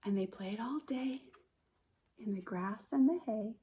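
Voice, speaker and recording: A woman kindergarten teacher reading a picture book aloud to young children in a simple, expressive way, speaking to them directly. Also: on the high side, unhurried. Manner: addresses children directly with questions and prompts.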